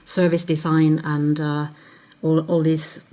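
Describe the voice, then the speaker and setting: A Finnish woman in her fifties with a Finnish accent, a podcast guest explaining her career in a measured way, stopping now and then to find the word. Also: low-pitched, little pitch movement, dark tone. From Finland. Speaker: podcast guest, agency CEO. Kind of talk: conversational interview answers.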